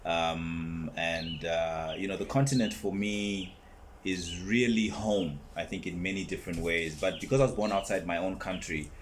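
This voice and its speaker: Male guest, deep voice, measured reflective delivery, faint noise. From born in Zambia, raised in South Africa. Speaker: guest, brand co-founder. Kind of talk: relaxed interview conversation.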